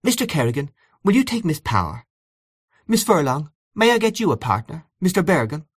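A man voicing a polite, brisk young hostess who coaxes guests in an audiobook story. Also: on the high side, very animated, husky, no echo, studio quality, voice pushed up. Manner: polite, coaxing. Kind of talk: audiobook character voice.

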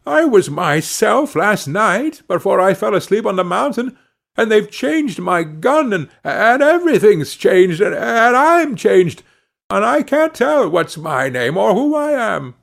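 Man acting a bewildered, high-pitched old fellow. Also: very animated, takes it slowly, vocal fry, hardly any pauses, breathy edge, exaggerated voice. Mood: despairing, bewildered. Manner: hesitant, stammering, plaintive. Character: bewildered, humble old man, anxious and lost.

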